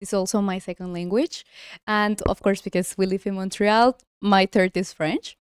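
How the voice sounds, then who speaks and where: A young woman with a Colombian accent and Spanish as her first language chats casually as a guest. Her mid-pitched voice is strikingly clear and smooth. The sound is dry, with little room echo. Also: fairly even intonation, moderate pace. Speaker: interview guest, studio owner. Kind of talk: casual chat.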